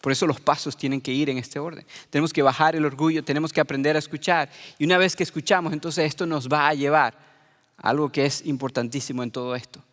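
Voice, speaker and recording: Earnest high-voiced pastor in reverberant hall. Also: male voice, fairly even intonation, quick pace, a bit bright. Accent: Venezuelan Spanish, light. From Venezuela. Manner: earnest, repeats phrases for emphasis. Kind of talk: sermon.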